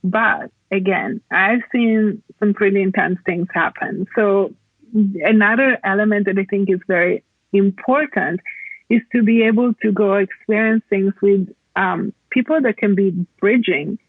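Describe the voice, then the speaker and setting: A woman from Italy, explaining reflectively as a podcast guest in a halting, conversational way over a remote call. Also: mid-range voice, no room sound, narrow, tinny audio. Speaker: podcast guest, plant medicine practitioner.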